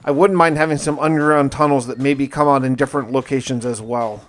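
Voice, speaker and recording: A man's voice: mid-range voice, fairly even intonation, fairly quick. A man narrating his survival gameplay as a casual first-person story, with dramatic turns and colloquial asides. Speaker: gaming video host and narrator.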